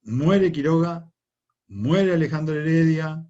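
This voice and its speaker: A man's voice: a little high, takes it slowly, warm tone. Man, Argentine accent, history teacher, conversational lecture, rhetorical questions, dull lo-fi sound. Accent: Argentine Spanish. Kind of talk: online class lecture.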